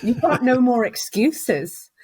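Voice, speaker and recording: A woman's voice: on the high side, expressive, takes it slowly, a little mellow, slightly rough. Chatty woman in her thirties. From Wales. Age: 30s. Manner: chatty storyteller, acts out quoted voices, laughs often. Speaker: guest, recruitment business founder. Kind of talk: casual interview chat.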